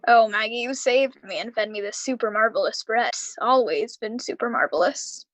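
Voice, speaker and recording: Woman doing a tired heartsick uncle in a radio play, high voice, halting, dry recording. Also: no room sound, lightly acted, rough recording. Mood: tender, admiring. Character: weary, heartbroken uncle, slowly recovering after misfortune. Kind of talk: live radio drama acting.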